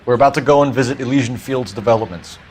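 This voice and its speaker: A man's voice: mid-range voice, fast talker, noisy background, close to an everyday voice. A man playing an earnest 1940s police detective in a video game, questioning in a clipped, formal way. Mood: businesslike.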